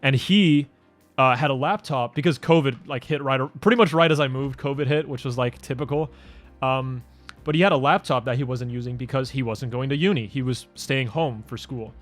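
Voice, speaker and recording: Young male creator, twenties, mid-range voice, relaxed rambling delivery, light music bed. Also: medium pitch, fairly quick. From Denmark. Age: 20s. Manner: loose and rambling, many "like" fillers and restarts. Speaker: YouTube creator answering fan questions. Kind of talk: casual Q&A vlog.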